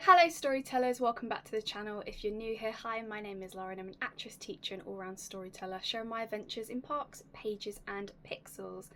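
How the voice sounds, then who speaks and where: A young woman hosting a casual unboxing vlog, chatty and enthusiastic, her voice slightly raspy and going hoarse. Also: medium pitch, fairly even intonation, fairly quick, hardly any pauses, faint music behind. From United Kingdom. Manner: chatty, enthusiastic, drops to soft whispers at times. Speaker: YouTube host.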